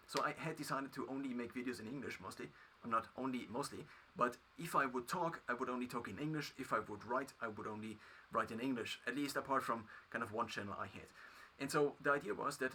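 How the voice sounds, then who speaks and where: A man with a German accent, a native German speaker, talking through his past as a video creator in a halting solo monologue full of restarts. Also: mid-range voice, sharp tone, slight background noise. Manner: halting, many restarts and fillers.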